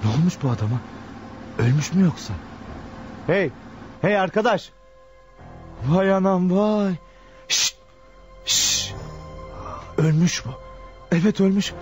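Jittery, stammering driver, animated. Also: male voice, high voice, slow pace, long pauses, faint music behind. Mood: anxious musing. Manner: stammering, exclaiming, talking to himself. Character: nervous, stammering, frightened male driver caught up in a crime. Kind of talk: Turkish radio theatre acting.

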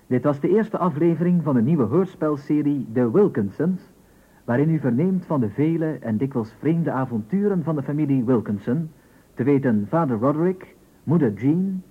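A man's voice: on the high side, animated, moderate pace, breathy edge. A man reading a scripted announcement for an old radio series. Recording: tinny, narrow old radio recording.

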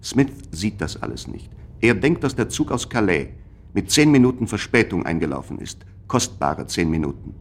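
A man reading scripted literary narration for a radio play in a measured, sober manner. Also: on the low side, sing-song, gravelly.

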